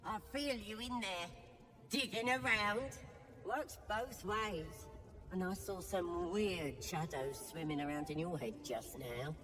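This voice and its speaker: A woman's voice: fairly low pitch, takes it slowly, a bit bright. An actress playing a fanatical, sly female goblin priestess in a video game, taunting and fervent. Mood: conspiratorial, coaxing. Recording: long reverberant hall, music behind.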